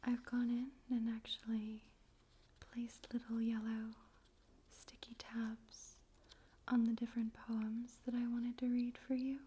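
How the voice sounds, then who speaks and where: A woman speaking in a soft, breathy whisper, gently and carefully, in an ASMR style for poetry reading. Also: fairly high pitch, monotone, takes it slowly. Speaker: ASMR host and poetry reader. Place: room in a home in daytime.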